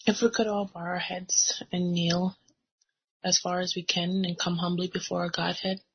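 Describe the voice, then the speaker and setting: A woman's voice: lowish voice, little pitch movement, normal speed, husky. A woman leading a church prayer aloud in a reverent, formal way. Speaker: congregant leading closing prayer. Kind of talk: spoken extempore prayer. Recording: muffled lo-fi audio.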